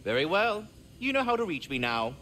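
A man's voice: high-pitched, very animated, fairly quick, pushed and bright, slight background noise, heavily acted. A man voicing a chatty, courtly talking squirrel in a video game, fussy and affable with pompous, theatrical enunciation. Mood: cheerful, instructive. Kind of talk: video game character acting.

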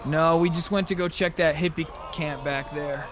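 A man's voice: fairly high pitch, steady tone, moderate pace. A man chatting casually as a player in an online roleplay game. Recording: faint background noise, game vehicle sounds.

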